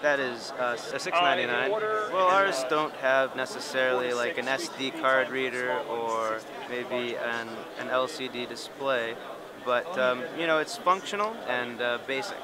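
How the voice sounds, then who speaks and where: A man's voice: medium pitch, unhurried. A man exhibitor describing his company's models and prices in plain sales talk with fillers. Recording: loud crowd noise behind.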